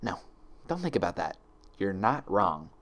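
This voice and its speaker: A man's voice: low voice, sing-song, a little slow, full character voice. A man voicing an earnest, bookish young female unicorn in a storybook, speaking carefully and with worry. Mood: anxious, self-reassuring.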